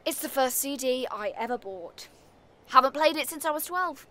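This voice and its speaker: A woman's voice: sits high, animated, normal speed, bright, forceful tone. A woman with a British accent playing a sardonic, defiant neighbour in an audio comedy play, brusque and sarcastic.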